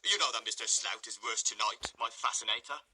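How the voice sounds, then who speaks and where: A man's voice: lowish voice, a little fast, pushed and bright, slight background noise. A man voicing a pompous, self-important middle-aged parish beadle, grand and unctuous, reading a storybook. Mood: wooing.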